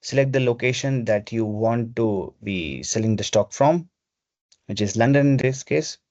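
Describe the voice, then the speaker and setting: Male software trainer, mid-pitched, instructional, explaining procedures over lo-fi call audio. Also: moderate pace, no room sound. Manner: step-by-step, instructional. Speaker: webinar presenter. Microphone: video call microphone. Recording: dull, lo-fi video call audio.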